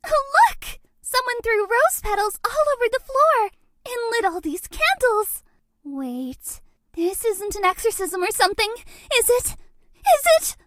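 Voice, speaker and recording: A woman's voice: very high voice, dry recording, clean studio sound. A woman voicing a shy, sweet, lovestruck young ghost girl for a dating game, with a cutesy, bashful manner. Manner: cutesy, bashful, gushing, with hesitant breathy asides. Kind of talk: dating game character voice lines.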